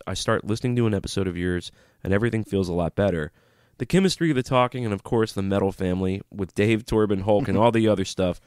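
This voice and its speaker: A man's voice: fairly low pitch, clear, resonant tone, dry recording, studio quality. A man co-hosting a casual podcast with a stuffy nose, joking around and reading listener emails aloud. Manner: joking, breaks into singing. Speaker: podcast co-host. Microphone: studio microphone, preamp talk.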